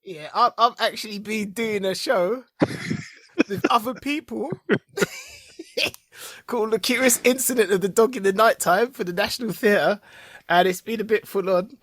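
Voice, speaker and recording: A man's voice: high voice, unhurried, dry recording. A man in his forties co-hosting a podcast, telling stories in casual chat and laughing often. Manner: laughs often, animated storytelling. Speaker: podcast co-host.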